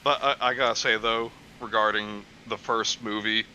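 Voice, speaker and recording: Male stream guest, sharp and tense-edged tone, casual film talk, slightly muffled lo-fi connection. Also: on the low side, steady tone, normal speed, a little hiss or hum. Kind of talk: casual chat about films.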